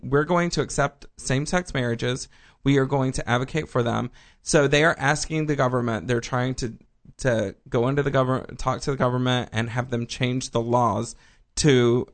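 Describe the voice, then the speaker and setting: A man's voice: medium pitch, a bit bright. A man from Texas co-hosting a casual news chat, rambling with many ums and restarts. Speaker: podcast co-host.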